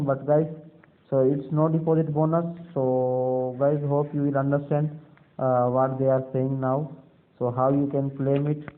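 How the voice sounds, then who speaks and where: A man from Pakistan walking viewers through a screen-recorded tutorial, halting with many fillers and addressing them as guys. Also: mid-range voice. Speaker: video creator. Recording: tinny phone-like sound.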